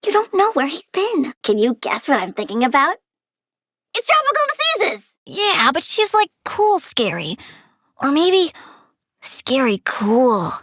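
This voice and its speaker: Game casting: menacingly cheerful plant-powered villain girl, very high voice, swinging delivery, blunt and bratty. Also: wildly swooping pitch, a bit bright, no room sound, studio quality, full character voice. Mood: curious, blunt. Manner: childlike, blunt, playful, quick-tempered. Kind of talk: video game character voice lines.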